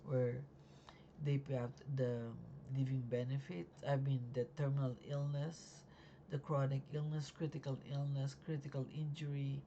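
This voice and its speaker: A life insurance agent, still learning the trade, explaining policies in a casual home video at night. The voice is raspy and sleepy, and the talk is halting, with many fillers and restarts. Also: medium pitch, level tone, dark tone, highs cut off, a little hiss or hum.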